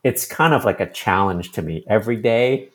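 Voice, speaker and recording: A man hosting a podcast, chatty and relaxed, who often ends his points with tag questions. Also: on the low side, normal speed. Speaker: podcast host. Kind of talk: casual interview.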